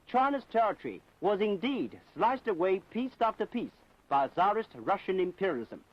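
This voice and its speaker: A man narrating a scripted propaganda documentary in a solemn, emphatic, declamatory manner. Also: high-pitched. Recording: dull 1960s film soundtrack.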